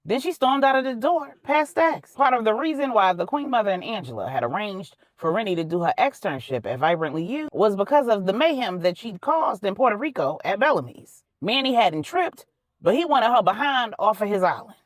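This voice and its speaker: Female narrator for colloquial fiction; animated delivery, emphatic and conversational, in a dry recording. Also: mid-range voice, brisk, dry recording. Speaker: storyteller, author narrating own fiction. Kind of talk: expressive audiobook-style storytelling with colloquial phrasing.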